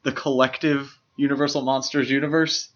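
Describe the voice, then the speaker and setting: A man's voice: medium pitch, flat delivery, normal speed, a bit bright. A man co-hosting a podcast, casually discussing film in an enthusiastic, explanatory way. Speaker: podcast co-host. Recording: slightly dull, lo-fi podcast audio.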